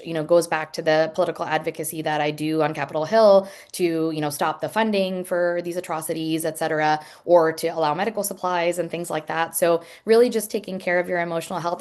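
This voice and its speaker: A lower-voiced woman talks frankly about her work as an emergency room nurse. She answers questions over a video call, earnest and unpolished, often pausing on ums and restarting her sentences. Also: a little mellow. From Pakistani heritage.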